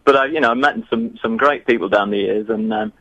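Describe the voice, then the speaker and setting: A man's voice: on the low side, brisk, fairly bright tone, husky, dry recording, highs cut off. A man, answering interview questions in a chatty, hesitant way with many restarts. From northern England. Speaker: guest, geography teacher. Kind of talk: remote interview.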